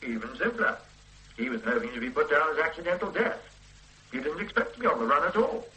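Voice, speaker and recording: A brisk, self-assured detective in a radio drama, quick to dismiss any doubt. His voice sits mid-range but bright and lively in its rises and falls. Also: on the high side, rough recording. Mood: dismissive. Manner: brisk, dismissive, matter-of-fact. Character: confident male police detective, brisk and certain. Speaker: senior police detective.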